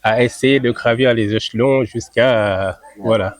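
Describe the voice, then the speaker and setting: A man who grew up in France, answering interview questions as a football club president. He speaks in a measured way, pausing often on "euh". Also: medium pitch, fairly even intonation, dry recording. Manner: measured, many hesitations on "euh".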